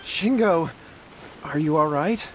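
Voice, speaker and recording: An actor playing a humble, worried brother in a video game, polite and subdued. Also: male voice, on the high side, lively intonation, slow pace, a little hiss or hum, poor audio. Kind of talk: video game character acting.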